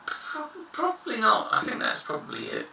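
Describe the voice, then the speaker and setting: A man's voice: high voice, huge pitch swings, normal speed, vocal fry, noisy background. A man in his thirties giving frank, rambling advice in a casual monologue. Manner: frank, rambling, blunt opinions. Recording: echoey room, somewhat far from mic.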